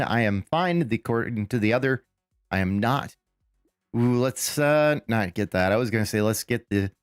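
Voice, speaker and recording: Raspy man streaming a game. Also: medium pitch, dry recording, studio quality. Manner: rambling, thinking aloud with fillers and restarts. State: raspy, recovering from lost voice. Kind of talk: casual live gameplay commentary.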